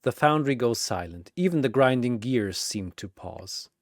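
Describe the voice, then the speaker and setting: A narrator reading a dramatic scripted story in measured, suspenseful short phrases. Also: medium pitch, lively intonation, no room sound, clean studio sound.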